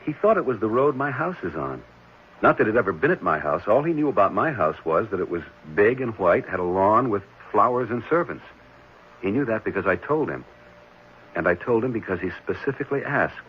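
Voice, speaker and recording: A man's voice: fairly low pitch, big pitch swings, fairly quick. A man playing a concerned, thoughtful and somewhat puzzled ordinary man in a 1970s radio drama, speaking conversationally. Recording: dull, slightly noisy 1970s radio recording.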